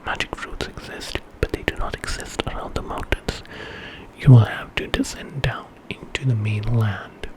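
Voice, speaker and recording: A man voicing a firm, wise old village healer in a measured whisper for an ASMR story. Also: on the low side, steady tone, a little mellow, close to an everyday voice, poor audio. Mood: firm, instructive.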